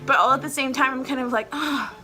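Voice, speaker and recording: Bright, chatty young woman in her twenties. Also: sits high, steady tone, fast talker, soft background music. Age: 20s. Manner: chatty, rambling, heavy use of "like" fillers. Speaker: vlogger host. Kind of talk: casual chat to camera. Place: at home.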